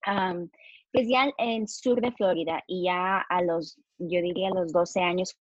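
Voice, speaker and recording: A woman's voice: on the low side, steady tone, unhurried, no echo, highs cut off. A woman in her thirties from New Jersey, chatting relaxedly as a podcast guest and dropping in English words. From United States, New Jersey. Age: 30s. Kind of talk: casual interview chat.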